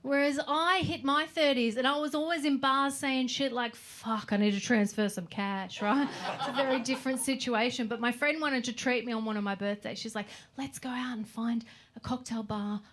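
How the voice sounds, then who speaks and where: A woman's voice: fairly high pitch, fairly even intonation, normal speed, a bit bright. A woman in her forties with an Australian accent doing a brash, sweary, self-mocking stand-up comedy set on a club stage. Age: 40s. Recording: live club audio, audience noise. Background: audience laughter.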